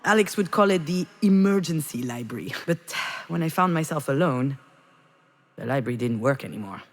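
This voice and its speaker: Introspective game heroine, woman, low husky voice, measured, intimate, wounded, reflective narration. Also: expressive. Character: reflective, wounded woman confiding her memories. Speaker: video game character narrator. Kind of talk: introspective scripted narration, visual novel voice acting.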